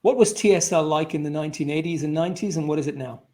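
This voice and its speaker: A man's voice: fairly high pitch, fast talker, a little mellow. A man hosting an interview, posing questions and commenting conversationally in wordy, reflective stretches. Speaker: interviewer, host.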